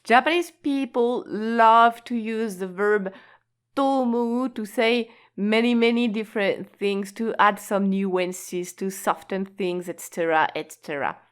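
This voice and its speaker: A woman teaching an online language lesson in a slow, patient, explanatory way, stretching key words and laughing lightly now and then. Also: medium pitch, smooth.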